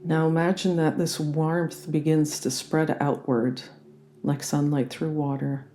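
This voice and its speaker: A meditation guide leading a guided relaxation in a gentle, soothing and unhurried manner. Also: mid-range voice, flat delivery. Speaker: yoga nidra meditation guide.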